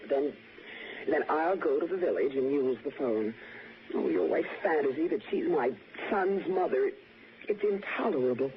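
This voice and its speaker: Indignant, anguished old-time radio mother, very expressive. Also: sits low, normal speed. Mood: indignant, demanding. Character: anguished, indignant, proud mother demanding her son back. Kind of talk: 1960s radio drama acting. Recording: dull, noisy 1960s radio recording.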